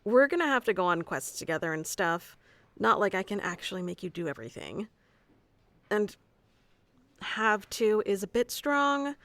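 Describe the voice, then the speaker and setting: A woman's voice: mid-range voice, big pitch swings, moderate pace, fairly bright tone, no room sound. A woman playing a haughty, easily flustered tsundere young wizard mentor in an ASMR roleplay, bossy and teasing, stammering when flustered. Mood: casual, explaining. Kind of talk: ASMR roleplay acting addressed to the listener.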